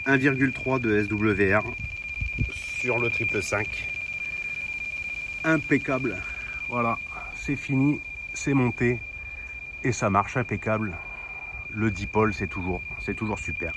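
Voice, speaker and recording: A man with a fairly low voice talks casually to his viewers as he demonstrates some hobby gear. His manner is informal and down-to-earth, full of little conversational tags. It is a field recording with clearly audible noise behind him. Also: a little slow, pauses often. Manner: informal, down-to-earth, often tagging phrases with "hein". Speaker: host of a CB radio hobby vlog. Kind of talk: casual hands-on demonstration to camera.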